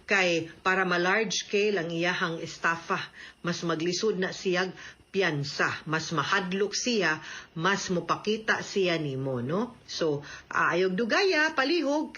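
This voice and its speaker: A woman's voice: mid-range voice, sharp tone, lo-fi sound. A woman with a Cebuano accent giving legal advice to radio listeners in an emphatic, instructive, conversational way. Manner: emphatic, instructive, checking with tag questions.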